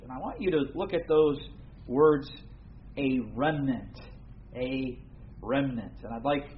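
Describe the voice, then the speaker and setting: A man from the United States preaching a sermon to an evening gathering, earnest and formal, pausing often with fillers. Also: mid-range voice. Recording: dull lo-fi recording with faint room noise.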